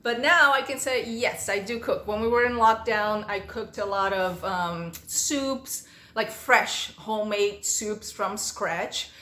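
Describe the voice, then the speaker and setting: A woman's voice: medium pitch, slow pace, hardly any pauses, pushed and bright, echoey room. A woman whose first language is Portuguese, reading questions aloud and answering them in a chatty, storytelling way for her vlog. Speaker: vlogger. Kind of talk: casual Q&A vlog.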